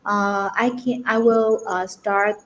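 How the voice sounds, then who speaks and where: A woman's voice: medium pitch, level tone, takes it slowly, muffled recording. A woman hosting a virtual event, introducing speakers in a hesitant, informal way. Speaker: event host. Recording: noisy online call.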